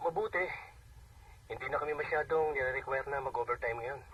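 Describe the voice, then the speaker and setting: A man's voice: medium pitch, a little fast, a little hiss or hum, close to an everyday voice. An actor playing a weary, homesick husband working away from his family, talking conversationally in a radio drama. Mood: calm. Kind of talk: Filipino radio drama acting. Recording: dull distant radio playback.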